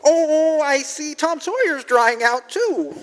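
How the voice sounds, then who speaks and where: Man playing a high-voiced, gentle, naive angel. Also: lively intonation, takes it slowly, exaggerated voice. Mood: earnest, mild. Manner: mild, earnest, hesitant, quaint. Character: gentle, naive, cheery old apprentice guardian angel. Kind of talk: 1940s-style live radio drama acting. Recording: reverberant live hall.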